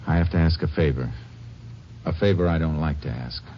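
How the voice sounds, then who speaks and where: Deep, steady Texas Ranger. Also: male voice, slight background noise. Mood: solemn, gentle. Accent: American. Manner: measured, polite, understated. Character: steady, courteous male Texas Ranger investigator. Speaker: lead actor and first-person narrator. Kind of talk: 1950s radio drama acting. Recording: dull vintage radio recording with music.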